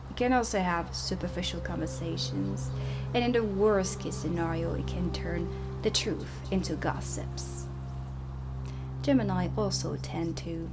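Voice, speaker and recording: A woman playing a strict dark witch who teaches at an academy, speaking softly and deliberately in an ASMR roleplay. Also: on the low side, loud music behind the voice. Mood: calm, instructive. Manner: slow, deliberate, instructive. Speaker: ASMR performer. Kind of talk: soft-spoken ASMR roleplay lesson.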